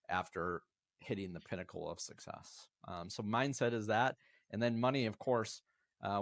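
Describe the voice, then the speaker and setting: Man in his fifties, low voice, dry close sound, earnest and reflective, podcast guest. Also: no echo. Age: 50s. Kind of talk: casual interview talk.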